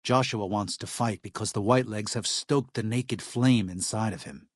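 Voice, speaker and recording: A man voicing an earnest, weary missionary medic in a video game, speaking in a measured, solemn, plain-spoken way. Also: fairly low pitch, no echo, clean studio sound, close to an everyday voice. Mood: grave, solemn. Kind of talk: video game character dialogue.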